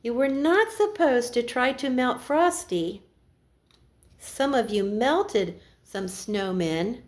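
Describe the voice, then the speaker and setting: A woman voicing Santa Claus as a stern old man scolding naughty elves in a slow, emphatic way. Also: fairly high pitch, expressive.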